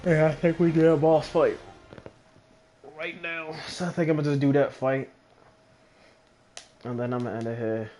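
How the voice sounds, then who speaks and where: Higher-pitched young man, casual game commentary. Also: fairly even intonation, moderate pace, pauses often, darkish tone. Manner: profane, slangy. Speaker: video game streamer. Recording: game music behind the voice.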